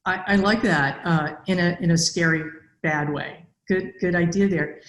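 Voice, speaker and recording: A woman's voice: lowish voice, steady tone, moderate pace, fairly bright tone. Echoey woman hosting an interview. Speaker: podcast host, interviewer. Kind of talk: conversational interview. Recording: echoey room, mic at a distance.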